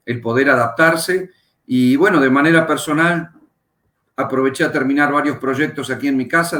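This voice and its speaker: Unhurried Argentine man in an echoey room. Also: mid-range voice, fairly bright tone. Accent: Argentine Spanish. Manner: unhurried, reflective, pauses with fillers. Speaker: pastor and Bible teacher, guest. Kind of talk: interview, telling his life story.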